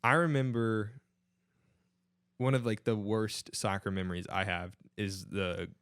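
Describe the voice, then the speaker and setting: A man's voice: on the low side, fairly even intonation, measured pace, a bit bright, clear, resonant tone, dry recording, studio quality. A young American man reminiscing about sports in casual podcast conversation as a co-host. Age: young adult.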